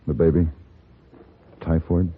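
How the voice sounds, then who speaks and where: A man's voice: deep voice, flat delivery, slow pace, slightly rough, exaggerated voice. Resolute western gunman, muffled old radio. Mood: calm, probing. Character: calm, resolute frontier gunman with a conscience. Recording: dull, noisy old radio recording.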